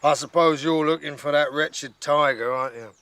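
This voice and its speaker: Man voicing a gruff, grumbling polar bear. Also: fairly high pitch, moderate pace, fairly bright tone, dry recording, pitched above the performer's own voice, natural voice. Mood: grumpy. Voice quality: gruff, gravelly. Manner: grumbling, complaining.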